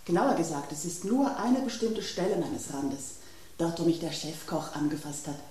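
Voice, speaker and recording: A woman's voice: lowish voice, expressive, moderate pace, dark tone, roomy sound, close to an everyday voice. An actress voicing a flustered female sauce boat who tells things in a fussy rush, in a radio play.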